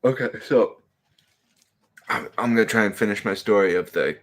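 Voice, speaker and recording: Young guy streaming, deep low voice, laid-back rambling to chat, lots of "like" and "you know". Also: moderate pace, fairly bright tone. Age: 20s. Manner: rambling, laid-back, laughs often, many fillers. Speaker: livestream host reading chat. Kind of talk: casual solo livestream chat.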